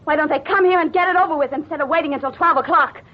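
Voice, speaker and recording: A woman's voice: high voice, very fast, natural voice. An actress playing a frightened, distrustful and defiant woman in a melodramatic old-time radio thriller. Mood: desperate, defiant. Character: frightened, distrustful woman hunted by unseen killers. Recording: muffled vintage radio drama recording with music.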